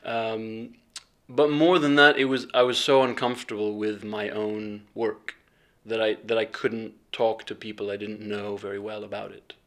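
A young man speaking in a relaxed interview, reflective and hesitant, with many restarts and ums as he thinks aloud. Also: fairly low pitch, moderate pace. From England, raised partly in Bahrain. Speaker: filmmaker and fellowship alumnus.

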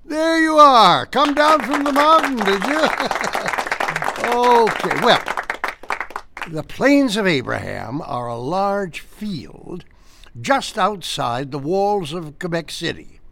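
A folksy old storyteller in an audio drama. His voice is creaky and slow, rising and falling in lively swoops, genial and easily flustered. A small crowd murmurs behind him. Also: sits high, noisy background, heavily acted. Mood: delighted, storytelling. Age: older. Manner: genial, halting, old-fashioned exclamations.

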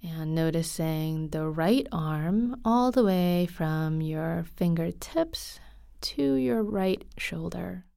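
A woman guiding a body scan meditation in a soft, calm, unhurried way, with frequent pauses and some breathiness. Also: lowish voice, creaky, smooth, no echo, clean studio sound. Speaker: guided meditation teacher.

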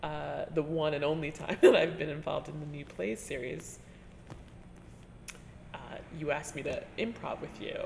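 A woman interviewing a colleague in a relaxed, chatty way, often trailing off and restarting and laughing as she talks. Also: deep voice, normal speed, creaky, a little hiss or hum. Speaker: interviewer, teaching artist. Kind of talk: conversational interview. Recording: reverberant room with faint ambience.

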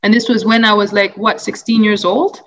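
Young woman from Uganda, interviewee, mid-pitched, earnest and reflective, lots of ums and restarts. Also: flat delivery, normal speed, fairly bright tone, highs cut off. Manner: thoughtful, earnest, many fillers and restarts. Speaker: interviewee, anti-racism advocate. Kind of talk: conversational interview answer.

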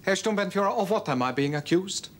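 An actor playing a nervous, evasive SS officer with a slight German accent who answers defensively. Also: male voice, high-pitched, lively intonation, fast talker. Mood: guarded. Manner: guarded, defensive replies.

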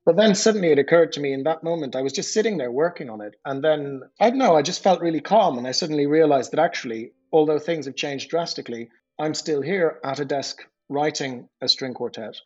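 Husky man on dull, muffled audio. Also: on the high side, animated, a little fast. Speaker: composer. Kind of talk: reflective interview talk about own work. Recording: muffled, dull audio, faint music behind.

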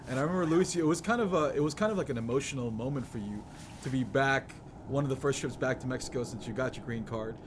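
A man's voice: medium pitch, fast, noisy background. A man from the US East Coast hosting a casual conversation in an informal, slangy, earnest way. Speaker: podcast host.

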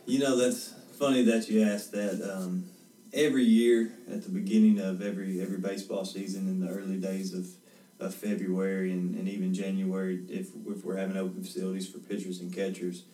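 A man in his twenties, a head baseball coach, answering interview questions in a steady, earnest way, repeating words as he thinks. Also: deep voice, a little fast, reverberant, far from the mic, rough recording. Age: 20s.

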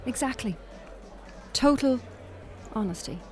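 A woman's voice: a little high, expressive, measured pace, darkish tone, some chatter behind. A young woman on a first date in an audio drama. She talks naturally, with a dry, teasing edge, and keeps her guard up. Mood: firm.

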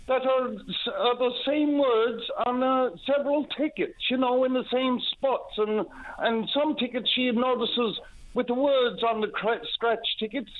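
Older man calling in by phone. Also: extremely high pitch. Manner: rambling, hesitant, folksy. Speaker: caller. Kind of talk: casual call-in chat. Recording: tinny phone line.

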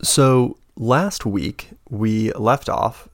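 A man narrating a tabletop game as game master, slow and hesitant with many fillers, getting over the flu. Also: mid-range voice, dry recording, studio quality. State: recovering from flu.

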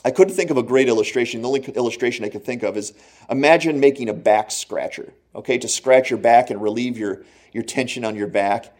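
A man preaching a sermon in an earnest, conversational way, slowing down to stress key phrases. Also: mid-range voice, darkish tone. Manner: laughs at own jokes. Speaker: pastor.

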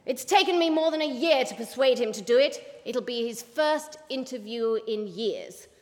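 A woman's voice: on the high side, expressive, normal speed, in a large hall, natural voice. A woman voicing a formidable, impatient female newspaper boss, brusque and emphatic, in an audiobook. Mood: stern. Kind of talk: audiobook character voice.

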